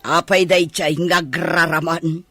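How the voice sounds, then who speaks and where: Haughty older Ilocano mother for radio drama. Scornful, dismissive, forceful bright tone, dry recording. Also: deep voice, level tone, slow, dry recording, soft background music, exaggerated voice. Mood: questioning.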